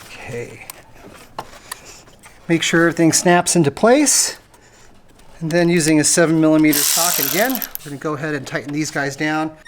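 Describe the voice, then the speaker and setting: Higher-voiced man giving a casual repair tutorial. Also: normal speed, long pauses. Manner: plain-spoken, step-by-step explaining. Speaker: how-to video host. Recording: noticeable background noise.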